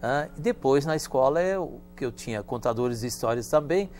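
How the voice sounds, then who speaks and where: A man, a professor whose first language is German, telling childhood stories in a measured, storytelling way during a studio interview. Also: medium pitch, faint music behind. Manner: measured and storytelling, with lively emphasis. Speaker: guest professor.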